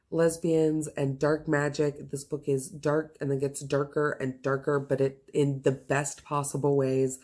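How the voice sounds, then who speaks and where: A woman with a low-pitched voice talks through book picks in a casual vlog style. Her delivery is enthusiastic and emphatic, like a host recommending favorites to viewers. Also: a little mellow.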